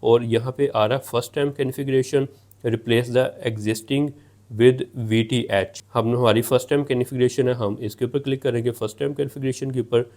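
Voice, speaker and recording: A man presents a practical tech tutorial in a mid-pitched voice. He explains things step by step, often restating what he has just said to make it clear. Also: steady tone, moderate pace, darkish tone. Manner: explanatory, repeats and rephrases each step. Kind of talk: step-by-step hands-on tutorial narration.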